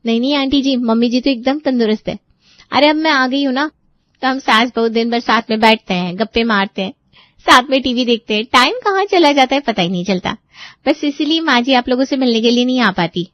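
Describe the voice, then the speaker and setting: A woman's voice: a little high, lively intonation, brisk, no echo, dull audio, lightly acted. A woman voicing a cheerful, chatty young daughter-in-law with a teasing, sweetly insistent manner in an audio story. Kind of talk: acted audio story dialogue.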